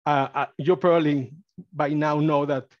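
Man with mexican spanish accent, halting delivery, fairly high voice, dry muffled call audio. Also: dry recording. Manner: halting, many fillers and restarts, gets excited. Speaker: college data analyst presenter. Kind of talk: webinar presentation with screen share. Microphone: computer mic on video call. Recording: dry, slightly dull video-call audio.

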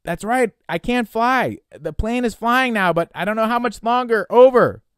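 A frightened boy acted out by a man calls out desperately in an audiobook. The voice is pushed high and loud, halting and urgent, in a dry, close recording. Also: expressive, smooth, no echo. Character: terrified boy in a failing plane, desperate, pleading. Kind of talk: dramatic audiobook character voice.

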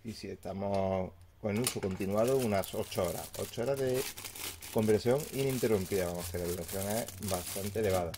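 Male product reviewer, lowish voice, plain explanatory delivery, casual unboxing, some background noise and crinkling. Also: brisk.

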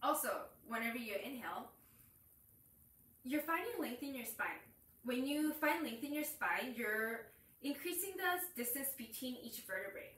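A young woman teaching a yoga class in a small room, her voice set a little high and caught from across the room by a distant camera. The room adds a clear echo. She explains each point and breaks off to cue inhales and exhales as she moves through the poses. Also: expressive, a little slow, fairly bright tone, slight background noise. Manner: explains while demonstrating poses, cueing breaths. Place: small room with curtains and yoga mat, indoors. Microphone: far camera. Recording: echoey room, far from mic.

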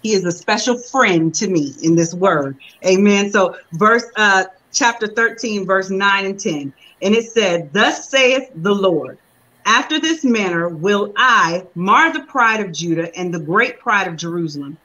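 A young woman preaches to her congregation over a video call, her voice coming through a webcam with slightly dull, muffled sound. She slows down to read scripture aloud, then explains it in loose, conversational preaching talk. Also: mid-range voice, animated, pushed and bright. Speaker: reverend preaching. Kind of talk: sermon. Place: room at home.

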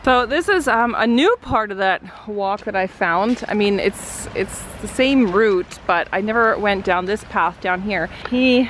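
A woman's voice: mid-range voice, lively intonation, unhurried, few pauses, a bit bright. A woman in her thirties chatting casually to her vlog audience in an informal, chatty way. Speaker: vlogger. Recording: clearly noisy, car and outdoor ambience.

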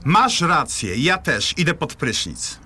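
A man's voice: fairly high pitch, big pitch swings, slow, sharp tone, husky, full character voice. An actor playing an evasive, defensive man in an audio drama, protesting indignantly and hedging. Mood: exasperated, pleading. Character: evasive, defensive man cornered by his partner.

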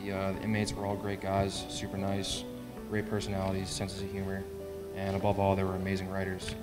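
Young male student speaker, extremely monotone, casual public remarks, music clearly audible behind. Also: sits low, brisk. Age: young adult. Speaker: student participant. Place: library meeting room, at podium. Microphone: podium gooseneck microphone.

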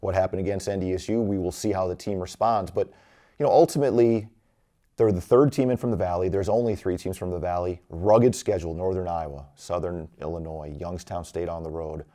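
A man's voice: low-pitched, fairly quick, dark tone. A middle-aged man hosting a studio sports preview, discussing teams in a conversational analyst's style. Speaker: sports show host.

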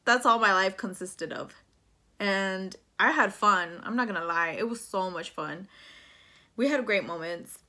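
Bright, chatty young woman. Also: medium pitch, unhurried. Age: 20s. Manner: chatty, switches between English and Spanish, slangy. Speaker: vlogger telling a personal story. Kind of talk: casual storytime chat to camera. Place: small plain room indoors.

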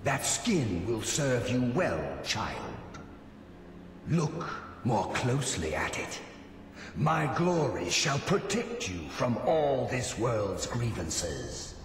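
A man's voice: mid-range voice, very animated. A male actor playing a solemn, commanding spectral god of the hunt in a fantasy game, making grand pronouncements with an echoing effect. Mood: solemn, approving. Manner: slow, grand, deliberate pronouncements. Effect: echoing reverb. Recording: distant, reverberant, noisy game audio.

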